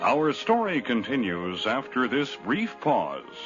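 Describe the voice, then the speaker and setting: Slow, swooping vintage male radio announcer. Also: medium pitch, wildly swooping pitch. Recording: muffled 1950s radio broadcast over music.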